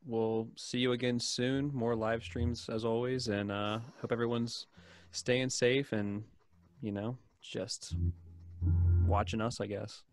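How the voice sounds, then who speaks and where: A man chatting casually as a podcast guest, with a deadpan, self-deprecating manner. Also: mid-range voice, slow, no echo. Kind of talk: casual chat. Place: indoors, on a video call.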